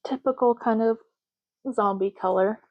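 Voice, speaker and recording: A soft-spoken woman doing an ASMR roleplay as a clerk, talking casually and matter-of-factly in unhurried short phrases with pauses. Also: on the high side, lo-fi sound. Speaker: ASMR roleplay host, playing an intake clerk making ID cards.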